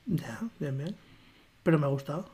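A woman's voice: low voice, normal speed, warm tone, breathy edge. A woman with a Spanish accent from Spain, co-hosting a podcast in casual, teasing, joking chat and reading out listener comments, swearing freely. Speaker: podcast co-host.

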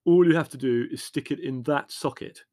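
A man voicing a bossy, practical, short-tempered grown brother, brisk and snappish, in a story reading. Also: medium pitch, very animated, no echo, studio quality.

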